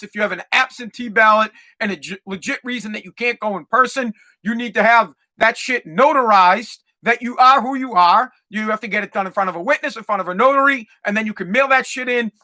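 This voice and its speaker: A man's voice: very high voice, bright, forceful tone. A male podcast host delivering a profane, fired-up rant with lots of repetition. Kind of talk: ranting monologue.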